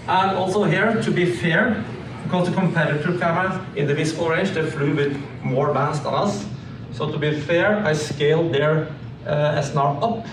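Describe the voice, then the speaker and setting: Man, slightly high voice, halting technical presenter talking through slides; echoey room with clear noise. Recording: echoey room, distant mic, clearly noisy.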